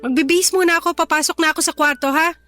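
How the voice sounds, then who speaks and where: A woman's voice: very high-pitched, steady tone, rapid-fire, fairly bright tone. A woman playing a tired, brusque young Filipino working mother who scolds wearily in a radio drama. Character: tired, harried working single mother in her twenties. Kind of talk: Tagalog radio drama acting.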